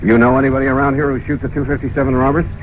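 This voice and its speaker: An actor playing a brisk, blunt male insurance investigator in a 1950s radio detective drama, clipped and insistent. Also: medium pitch, little pitch movement, background noise, lightly acted. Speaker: lead actor and first-person narrator. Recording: muffled old radio recording with music.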